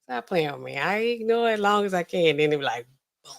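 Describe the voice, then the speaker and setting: A woman, hosting a casual livestream and chatting through viewer comments in a sassy, animated way. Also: fairly low pitch, fast, vocal fry. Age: 40s. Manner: chatty, sassy, animated swings between loud and hushed. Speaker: livestream host.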